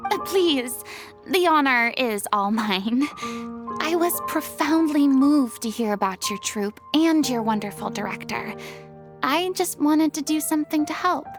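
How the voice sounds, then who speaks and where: A woman's voice: sits high, animated, measured pace, over music. A woman voicing a gracious young artistic consultant who speaks politely and formally. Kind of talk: game voice acting.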